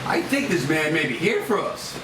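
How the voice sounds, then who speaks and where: A man plays an anxious, deferential movie sidekick, polite but urgent. His high-pitched voice comes from off the mic, with clear noise behind. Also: big pitch swings, normal speed, recorded from a distance. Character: anxious, deferential male bus companion. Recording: echoey, off-mic, background noise.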